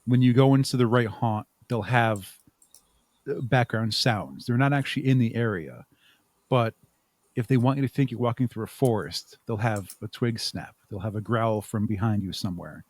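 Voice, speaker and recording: A man answering interview questions conversationally as a podcast guest, thinking aloud in a halting way with repeated words. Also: on the low side, a little mellow, no room sound. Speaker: podcast guest, audio drama writer and producer.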